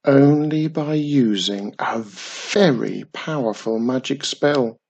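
A storyteller voicing a wary little old man who tells spooky tales slowly and with emphasis. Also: medium pitch, creaky, darkish tone, slightly rough, lo-fi sound, full character voice. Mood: dramatic. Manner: slow, emphatic, dramatic. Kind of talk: storybook reading.

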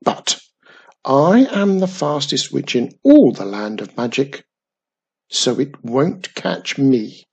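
A storyteller voicing Wizardy Witch, a cheerful young witch who is proud of how fast she is, in a high, quick, eager voice. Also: animated, gravelly, a little mellow, husky, heavily acted. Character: cheerful young witch, boastful about her speed. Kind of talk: storybook reading.

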